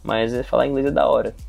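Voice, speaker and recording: A man's voice: mid-range voice, vocal fry, husky. A young man from Brazil talking casually to camera about his acting campaign, full of slang and swearing. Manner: slangy, profane, animated venting. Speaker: aspiring actor vlogger.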